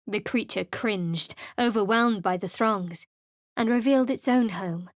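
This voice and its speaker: A woman's voice: medium pitch, measured pace, dry recording, close to an everyday voice. An actress playing a guarded, sardonic young woman cleric in a studio game, in a dry, cutting manner, also narrating. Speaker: game character.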